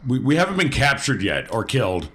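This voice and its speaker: A man's voice: medium pitch, huge pitch swings, a little fast, a bit bright, slight background noise. A man chatting casually as a player in a tabletop role-playing game.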